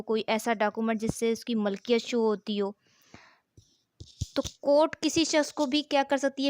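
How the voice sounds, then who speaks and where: A woman's voice: fairly high pitch, clean tone, no echo, studio quality. A woman lecturing in a didactic way, explaining and reading aloud as a teacher. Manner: didactic, poses questions and answers them herself. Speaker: law lecturer.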